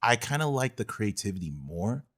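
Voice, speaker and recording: A man co-hosting a podcast, casually giving film opinions in a halting way, rephrasing as he goes. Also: on the low side, sing-song, a bit bright. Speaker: podcast co-host. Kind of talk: casual film discussion chat.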